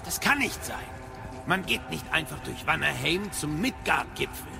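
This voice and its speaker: A man playing an excitable, wary old sage and guide in a German game audio drama, with an animated, dramatic delivery. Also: a little high, normal speed, creaky. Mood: puzzled. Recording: loud music under the voice.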